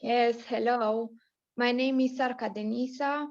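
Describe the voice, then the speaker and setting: Slow, even young woman. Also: fairly high pitch, no echo. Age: 20s. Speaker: university student. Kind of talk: self-introduction. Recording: dull lo-fi video call.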